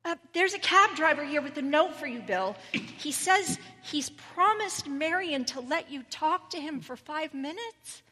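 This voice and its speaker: A woman playing a brisk, hurried office worker delivering a message. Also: sits high, lively intonation, bright, forceful tone, exaggerated voice. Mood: urgent. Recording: echoey hall.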